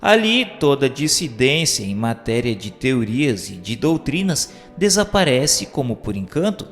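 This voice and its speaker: Expressive Brazilian male narrator over music. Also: mid-range voice, unhurried. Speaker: narrator reading a book aloud. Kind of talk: formal scripted narration, audiobook-like.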